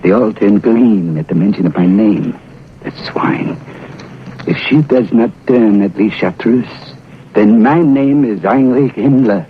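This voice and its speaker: An actor playing a ruthless, cynical anti-Nazi agent in a 1940s radio drama, speaking in suave, formal, slightly stilted phrases. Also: male voice, lowish voice, measured pace, lightly acted. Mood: cold, menacing. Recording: scratchy, noisy, narrow-band old radio recording.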